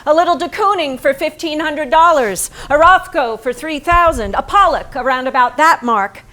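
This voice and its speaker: A woman on stage playing a painter's anguished, yearning wife in a theatrical dramatic reading, with deliberate, halting phrasing. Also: high-pitched, sharp tone. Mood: dry, declaiming.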